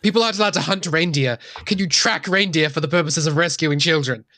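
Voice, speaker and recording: Higher-voiced man, dry sound. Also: fast, pushed and bright, dry recording. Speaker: player in a tabletop game. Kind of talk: casual game chat.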